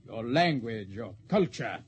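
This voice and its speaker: An actor playing an arrogant alien infiltrator posing as a lieutenant, formal and controlled, in 1950s science fiction radio style. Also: male voice, mid-range voice, very animated, measured pace. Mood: smug, superior. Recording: noisy old 1950s radio recording.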